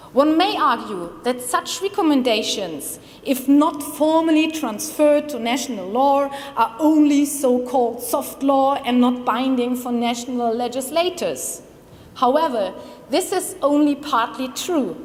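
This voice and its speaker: A woman in her thirties with a German accent, giving a formal, measured academic lecture at a conference. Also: high-pitched. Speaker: conference speaker, criminology researcher. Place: large conference hall. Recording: long hall reverb.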